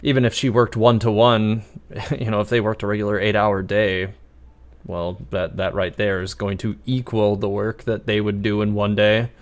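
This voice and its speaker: A man's voice: on the low side, flat delivery, normal speed. A man giving casual live stream commentary on a game, chatty and rambling.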